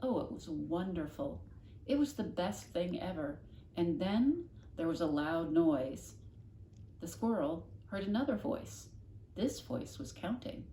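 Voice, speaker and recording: Woman, expressive storybook narration, deliberate dramatic emphasis, reverberant room, faint background noise. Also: fairly low pitch. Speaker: storybook reader, classroom host. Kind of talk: expressive read-aloud narration of a children's novel.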